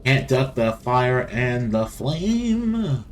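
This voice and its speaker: A man's voice: medium pitch, big pitch swings, drawn-out words, slight background noise. A man giving casual, rambling game commentary as host, often repeating his phrases. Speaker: gaming video host.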